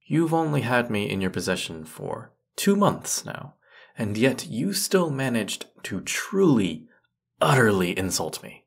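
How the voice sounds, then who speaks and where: A man's voice: medium pitch, big pitch swings, unhurried, gravelly, slightly rough, exaggerated voice. A man playing a grumpy, haughty century-old teapot spirit in an audio roleplay, scolding the listener with put-upon sarcasm. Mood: haughty, aggrieved.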